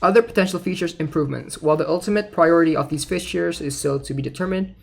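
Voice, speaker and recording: A young man with a slightly high voice talks like a gaming YouTuber going over news. His delivery is informal and a little hesitant, as if working out his thoughts aloud. Faint music plays behind him. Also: fast, gravelly. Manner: informal, hesitant, thinking out loud. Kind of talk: casual game news commentary, partly reading aloud.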